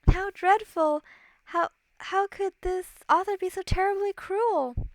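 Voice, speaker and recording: A woman's voice: extremely high pitch, a little slow, no echo, pitched above the performer's own voice. A woman voicing a naive, dramatic young princess in a storybook read-aloud, prim and formal. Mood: prim dismay.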